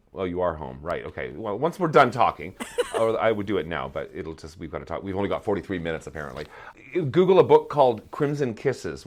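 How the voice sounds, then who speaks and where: Low-voiced man in wry, digressive chat. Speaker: playwright and performer, podcast guest. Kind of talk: casual interview chat.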